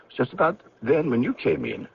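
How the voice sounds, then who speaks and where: A man's voice: mid-range voice, huge pitch swings, moderate pace. A man acting in an old-time radio drama as a glib, evasive, outwardly chummy commuter with a breezy, emphatic manner. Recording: dull, hissy old radio recording.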